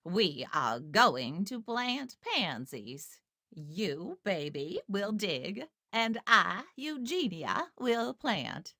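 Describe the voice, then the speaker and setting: A man voicing a bossy, prim woman who is the elder sister, speaking in a haughty, drawn-out way in a storybook reading. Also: high voice, sing-song, creaky, sharp tone, dry recording, clean studio sound, voice pushed up, full character voice. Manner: haughty, drawn-out commanding delivery. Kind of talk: storybook read-aloud.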